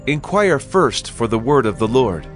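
A man playing a pious, courteous king in a dramatized audio Bible, calm and dignified. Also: medium pitch, moderate pace, faint music behind, lightly acted. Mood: measured. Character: measured, pious, courteous male king of Judah.

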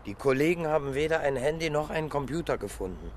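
An actor playing a precise, fact-loving police detective in a radio crime drama, with a matter-of-fact, pedantic manner. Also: male voice, fairly high pitch, animated, quick pace, slight background noise, natural voice. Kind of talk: naturalistic German radio crime drama acting.

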